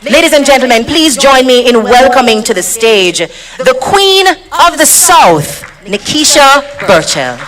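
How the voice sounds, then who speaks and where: A woman with a Jamaican accent, working as the emcee at a political rally, making formal, rousing introductions that build to shouts. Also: sits high, very animated, unhurried, few pauses. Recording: live rally recording with a loud crowd. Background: cheering crowd.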